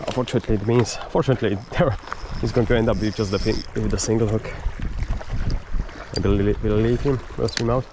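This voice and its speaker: A lowish-voiced man in his forties chats to himself and the camera while casting from seaside rocks, chuckling now and then. The sound comes from an action camera outdoors, with clear wind and water noise around him. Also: steady tone, a little fast. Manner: chatty, self-talking, chuckling between remarks. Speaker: vlogger, angler. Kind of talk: casual outdoor vlog commentary. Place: outdoors on coastal rocks by the sea. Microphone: action camera on a chest mount and handheld.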